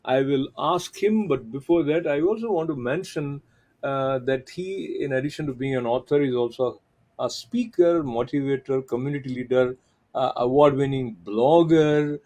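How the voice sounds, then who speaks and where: Mellow-voiced male TV interview host. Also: mid-range voice. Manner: measured, with frequent filler pauses.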